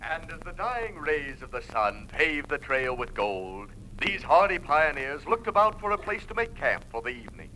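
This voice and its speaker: Declamatory Western serial announcer, very bright, animated delivery, noisy vintage radio recording. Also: male voice, a little high, moderate pace. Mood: grand, earnest. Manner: declamatory, florid. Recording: noisy old-time radio broadcast recording.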